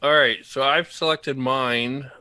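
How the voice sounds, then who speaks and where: A man's voice: medium pitch, steady tone, slow, bright, forceful tone, clean tone, no echo. A man chatting casually and wryly with others on a group video call. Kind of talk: casual chat.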